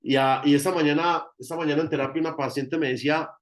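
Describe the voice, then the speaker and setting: A man's voice: a little high, fairly even intonation, quick pace. Colombian man, emphatic spiritual teacher. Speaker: guest therapist and spiritual teacher. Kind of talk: informal teaching talk in an online meeting.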